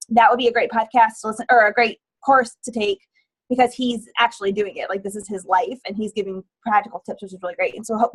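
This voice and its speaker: Chatty woman moderator, higher voice. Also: low-quality recording. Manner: chatty, steers the discussion. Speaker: panel moderator. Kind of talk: casual panel chat.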